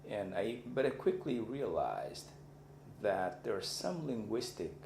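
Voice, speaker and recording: Deep gravelly man lecturing. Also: sing-song, a little slow, darkish tone. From Mozambique. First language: Xangana. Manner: thoughtful, searching for words. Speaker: university lecturer. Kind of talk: reflective personal lecture. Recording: echoey room with faint background noise.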